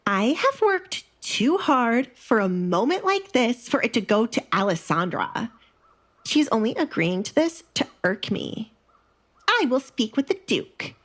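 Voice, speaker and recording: A woman's voice: fairly high pitch, pitched above the performer's own voice, exaggerated voice. A woman playing an indignant, scheming noblewoman in an audio drama, haughty and accusing. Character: indignant scheming stepmother and jealous spoiled sister.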